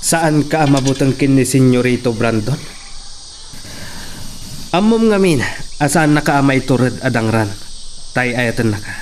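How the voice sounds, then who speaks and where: A man's voice: mid-range voice, lively intonation, measured pace, long pauses, lightly acted. A man playing a taunting, jealous henchman in an Ilocano radio drama, with an Ilocano accent. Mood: taunting, menacing. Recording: distant mic, faint background noise.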